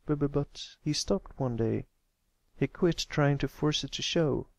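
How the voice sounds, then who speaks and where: Man voicing a shy young colt, slightly raised pitch. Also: unhurried, vocal fry, dry recording. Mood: gentle, reassuring. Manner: gentle, hesitant, explanatory. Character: shy, earnest young male cartoon pony, comforting a friend.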